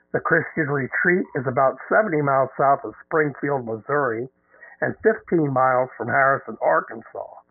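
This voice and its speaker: A man hosting a radio show who reads an announcement in a measured, deliberate way. He is losing his voice. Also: mid-range voice, creaky, dry recording. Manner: measured, deliberate reading with halting ad-libs. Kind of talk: reading an event announcement on air. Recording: narrow phone-line radio sound.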